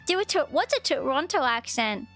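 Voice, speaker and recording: A woman's voice: high-pitched, sing-song, a little fast, a bit bright, soft background music. A young woman chatting casually as a livestream host, hesitant and often repeating her phrases. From Australia. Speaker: streamer, VTuber host.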